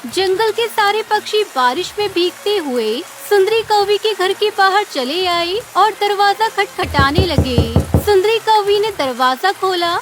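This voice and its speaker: A woman's voice: very high voice, measured pace, few pauses. A woman narrator reading a scripted children's story.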